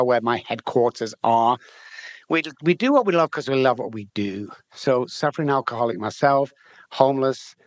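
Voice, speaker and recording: A man's voice: medium pitch, no room sound. A middle-aged man from England with a Manchester accent, telling his story candidly in an interview, chatty and often repeating words as he restarts. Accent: Manchester English. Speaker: guest, addiction recovery specialist.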